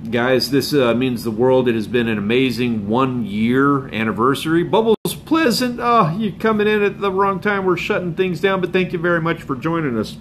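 A man hosts a fishing channel live stream from home, chatting loosely with viewers and sounding hoarse from a cold. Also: on the high side, lively intonation, normal speed, hardly any pauses, a bit bright, a little hiss or hum. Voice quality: rambling, laid-back, with chuckles and filler words. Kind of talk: casual live stream chat. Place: home room with bookshelves and a window. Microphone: phone camera up close.

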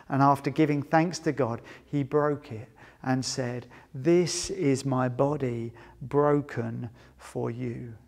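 A man in his forties, a pastor leading communion, speaking earnestly in short phrases with pauses and soft trailing endings. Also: a little high, creaky. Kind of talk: prayer and scripture reading for church service. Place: large empty indoor sports hall. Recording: echoey sports hall with long reverb.

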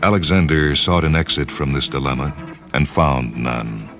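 An American man reading scripted dramatic narration for a radio story program in a measured, solemn way. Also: a bass voice, a bit bright. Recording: music under the voice. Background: music.

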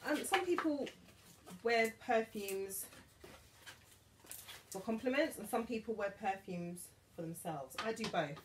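A woman's voice: medium pitch, background noise. Chatty British woman vlogging a haul. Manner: chatty, animated, drops to whispers for asides. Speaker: beauty vlogger. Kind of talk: casual haul chat to camera. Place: bedroom dressing room with vanity, indoors.

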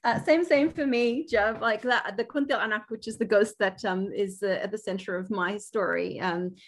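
Husky-voiced woman from Indonesia, emphatic. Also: mid-range voice, normal speed. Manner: emphatic, passionate. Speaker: filmmaker panelist. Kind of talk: casual panel talk over video call. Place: room with bookshelves. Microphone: earphone headset on video call.

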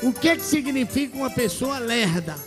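Middle-aged Brazilian male stage host, very high voice, boisterous teasing banter, reverberant studio with faint music. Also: animated, normal speed. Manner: teasing, boisterous, repeats himself. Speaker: TV variety show host. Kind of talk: playful on-stage interview banter. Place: large indoor TV studio stage. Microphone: handheld microphone. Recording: echoey TV studio hall, music.

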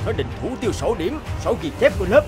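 A man playing a stern, hot-tempered middle-aged father in an animated drama, scolding and exasperated. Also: sits high, fast, over music. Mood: furious.

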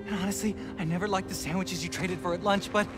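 A man's voice: high-pitched, fairly even intonation, quick pace, natural voice. A young man playing a nervous, rambling best friend in a video game scene. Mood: frantic confessing. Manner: breathless rambling confession. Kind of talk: video game cutscene acting. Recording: music behind the dialogue.

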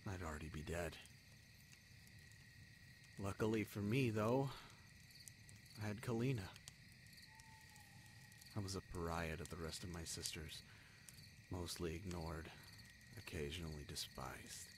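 A man playing a bitter, wounded young spider prince in a fantasy audio drama, speaking in halting, emotional phrases with heavy pauses. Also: sits low, noisy background. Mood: subdued, resigned. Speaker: voice actor in an audio roleplay.

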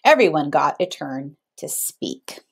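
A woman in her thirties reading a picture book aloud to children in an expressive, teacherly way. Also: low-pitched, slow pace, gravelly, breathy edge. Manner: teacherly, checks in with listeners.

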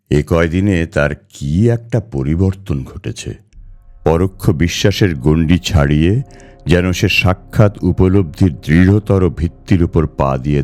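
A man reading a ghost story aloud in a measured, dramatic storytelling manner. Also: low voice, dark tone. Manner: measured, acts out the dialogue. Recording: background music under the voice.

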